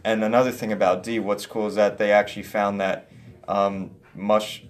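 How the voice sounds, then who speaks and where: A young man with a lowish, soft voice talks as a guest in a casual chat. He rambles, leans on "like" constantly and restarts his sentences. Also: little pitch movement, moderate pace, slight background noise. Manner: rambling, heavy use of "like", restarts.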